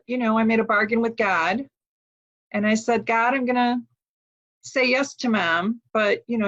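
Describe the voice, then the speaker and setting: An American woman telling a family story, her pace slow and unhurried. She often pauses on ums and uhs as she thinks. The sound is dull and lo-fi, as if from a video call. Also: medium pitch, fairly bright tone. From United States. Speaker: guest speaker. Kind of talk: personal storytelling talk.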